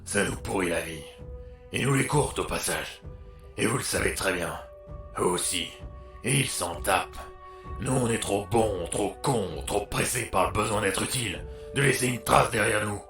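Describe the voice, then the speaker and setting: A man acts out a jaded, sardonic doctor for an audio drama. His low voice is gravelly and forceful, full of crude slang. The sound is roomy. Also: moderate pace, soft background music. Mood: bitter, intense. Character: bitter, worn-out, foul-mouthed male doctor with superpowers. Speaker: voice actor.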